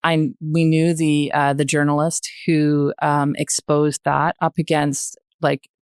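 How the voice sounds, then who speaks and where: An older woman with a Canadian accent, a guest speaking reflectively in a podcast interview, hesitant and thoughtful, often pausing mid-sentence. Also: low-pitched, level tone, clear, resonant tone, dry recording, studio quality. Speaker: podcast guest, retired journalist.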